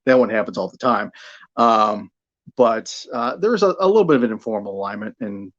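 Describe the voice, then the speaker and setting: A man's voice: fairly low pitch, expressive, fairly quick, fairly bright tone, muffled recording. A middle-aged American man from Buffalo talking casually as an interview guest about his broadcasting work, often repeating words as he thinks. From Buffalo, New York, United States. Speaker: guest, radio sports broadcaster.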